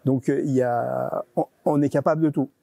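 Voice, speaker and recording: A man's voice: medium pitch, steady tone, moderate pace, gravelly, dark tone, no room sound. A man giving explanatory interview answers, hesitating and often repeating his words as he goes. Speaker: interviewee, regional deputy director of a nonprofit.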